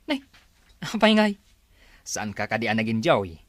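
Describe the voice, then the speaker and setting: A man's voice: lowish voice, big pitch swings, normal speed, fairly bright tone. A man playing a teasing, needling older male relative in an Ilocano comedy drama, with a Filipino Ilocano accent. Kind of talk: Ilocano radio drama acting.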